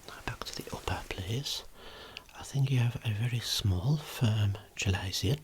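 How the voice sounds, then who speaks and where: A person performing an ASMR medical examination roleplay as a dermatologist, speaking in a soft, breathy whisper with a gentle, reassuring clinical manner. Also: mid-range voice, measured pace, slight background noise.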